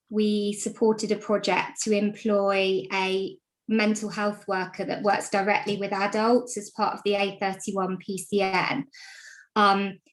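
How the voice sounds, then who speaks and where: A woman gives a funding update over a video call. Her delivery is flat and even, with little rise or fall. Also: mid-range voice, poor audio. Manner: even, matter-of-fact. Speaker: funding officer. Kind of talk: update in an online meeting.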